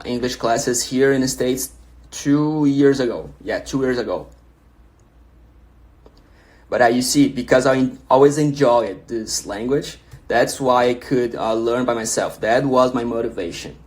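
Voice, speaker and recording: A man's voice: medium pitch, measured pace. A young man from Brazil with a Brazilian Portuguese accent, a native Portuguese speaker, hosting a casual livestream where he reads viewer questions aloud and answers them encouragingly, often restarting his sentences.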